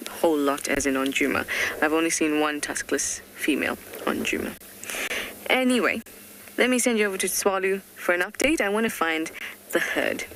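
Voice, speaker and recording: A safari guide explains things to viewers during a live drive, keeping the voice low and sometimes whispering. The pitch is medium. A faint hiss runs behind the voice. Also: poor audio. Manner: hushed, drops to a whisper near animals. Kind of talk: live game drive commentary. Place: outdoors in the bush.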